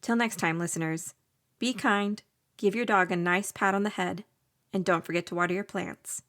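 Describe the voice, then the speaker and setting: Young innkeeper heroine, played by a woman, scripted first-person storytelling, measured and gentle, earnest botany graduate. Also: medium pitch, steady tone, natural voice. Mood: warm, pleased. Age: young adult.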